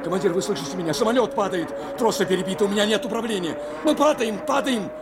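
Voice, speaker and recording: A man's voice: high-pitched, fast, over music, lightly acted. An actor playing an intense, desperate crewman of a stricken bomber, with strained and emphatic radio-drama delivery. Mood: desperate, pleading. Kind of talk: impassioned Soviet radio drama acting. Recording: noisy aircraft sound effects over voice. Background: loud engine noise.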